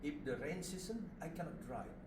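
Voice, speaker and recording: Man, Indonesian accent, slightly high voice, short simple English phrases, craft demonstrator, loud background noise. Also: animated, fairly quick. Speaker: puppet maker giving a demonstration. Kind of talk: informal craft demonstration to visitors. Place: roomy puppet studio. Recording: roomy, distant mic, loud background noise.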